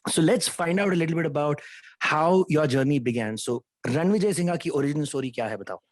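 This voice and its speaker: Fast-talking man hosting a chat. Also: a little high, no echo. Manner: quick, chatty, mixes in Hindi phrases. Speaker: host. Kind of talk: casual interview.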